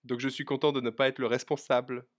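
A man's voice: mid-range voice, level tone, fast. A young man co-hosting a podcast in a casual, conversational style. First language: French. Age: young adult. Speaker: podcast co-host. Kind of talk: casual chat.

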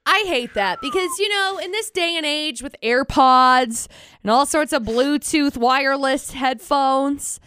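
A woman's voice: high voice, unhurried, pushed and bright. A woman co-hosting a radio show in casual debate banter, emphatically repeating her points for stress.

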